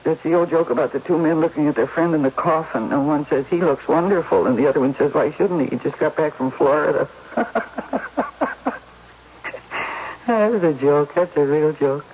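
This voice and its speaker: A woman's voice: deep voice, a little fast, lightly acted. Woman acting a despairing, self-mocking actress, muffled old radio. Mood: bitterly amused, tearful. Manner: soliloquizing, emotional, self-deprecating. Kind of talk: 1970s radio drama acting. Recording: muffled 1970s radio recording, faint noise.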